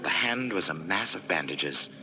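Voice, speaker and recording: A man's voice: sits low, lively intonation, normal speed, noisy background. A man acting in an old-time radio drama, playing a desperate, menacing, obsessed man with an intense, brooding, urgent manner. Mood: haunted, brooding.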